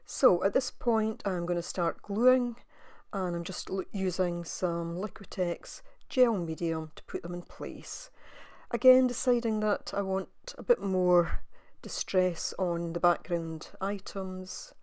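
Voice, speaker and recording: A woman with a slightly croaky voice, musing softly as she narrates her crafting in a voice-over. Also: lowish voice, no room sound, clean studio sound. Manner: soft, musing, thinking aloud with pauses. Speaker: craft video maker.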